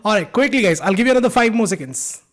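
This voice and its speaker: A man's voice: sits high, lively intonation, fast, fairly bright tone. A young man from India teaching a live online science class, chatty and upbeat, bantering with his students. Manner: upbeat, banters with students, code-switching slang.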